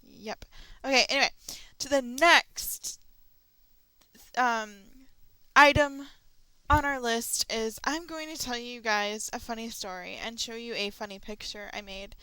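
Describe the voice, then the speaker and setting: A woman's voice: on the high side, measured pace, long pauses, bright, forceful tone, clean tone, no echo, low-quality recording. A young woman hosting a casual audio log, chatty and rambling with frequent ums and restarts. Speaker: channel host.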